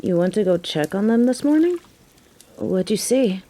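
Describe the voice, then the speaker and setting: A woman's voice: medium pitch, expressive, normal speed, mellow, close to an everyday voice. A woman playing a tough, sardonic but caring young biker girlfriend in a roleplay audio drama, talking in casual slang with a teasing, wry manner. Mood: sympathetic, probing. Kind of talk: scripted roleplay audio drama, intimate one-sided conversation. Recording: faint background noise.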